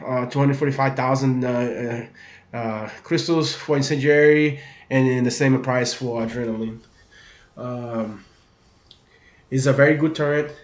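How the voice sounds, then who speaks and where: Brazilian-accented man, casual game talk. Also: mid-range voice. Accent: Brazilian Portuguese. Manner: relaxed, many fillers and restarts. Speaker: gaming YouTuber. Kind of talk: casual game guide voice-over. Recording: echoey room.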